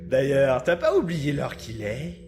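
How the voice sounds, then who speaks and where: A man doing a gravelly, sneering inner voice. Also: mid-range voice, huge pitch swings, measured pace, darkish tone. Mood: sly. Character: mocking male voice in a man's head, cruel. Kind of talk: amateur audio drama. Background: music.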